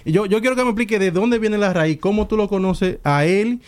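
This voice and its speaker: A man co-hosting an interview, insisting on a clear explanation from the guest. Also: high voice, fairly quick. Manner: insistent, wants things made clear.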